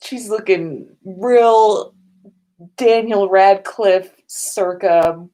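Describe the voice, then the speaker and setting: Female livestream host, unhurried delivery, mid-range voice, informal and chatty, talking to viewers from home. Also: mid-range voice, mellow, rough recording. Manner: informal, chatty, sprinkled with swearing and giggles. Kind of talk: casual livestream chat with viewers. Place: indoors at home.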